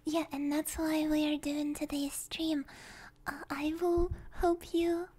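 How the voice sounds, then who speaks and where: A woman with a very high, mellow voice hosts a relaxed ASMR stream. She talks slowly and softly, close to a binaural mic, with a playful manner that can slip into a whisper. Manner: soft-spoken, playful. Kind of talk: ASMR livestream chat, drifting into whispers. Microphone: close binaural stereo microphone.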